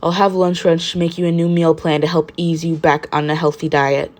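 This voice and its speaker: A woman acts out a weary male schoolteacher from a story. He speaks slowly and flatly, stern but responsible. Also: low-pitched, natural voice. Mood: weary, firm. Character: tired, stern, responsible adult male teacher.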